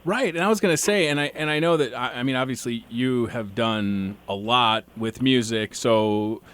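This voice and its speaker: Thirtysomething guy doing an interview, mid-pitched voice, friendly, trips over his questions. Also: moderate pace, fairly bright tone, smooth. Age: 30s. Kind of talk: casual interview.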